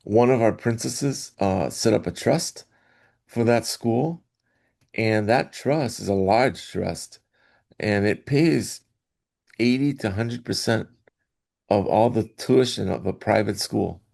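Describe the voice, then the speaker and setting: A middle-aged man from Hawaii, a guest in an interview, telling his story in a rambling way with restarts and soft laughs. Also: lowish voice, slow, gravelly. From Hawaii, Oahu. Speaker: guest, athletic director association executive. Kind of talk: casual interview talk.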